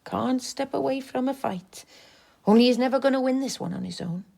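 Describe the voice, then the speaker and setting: Tough, creaky-voiced working-class woman. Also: sits high, fairly quick, warm tone, exaggerated voice. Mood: pleading, insistent. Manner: frank, sardonic, coaxing. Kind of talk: radio drama dialogue.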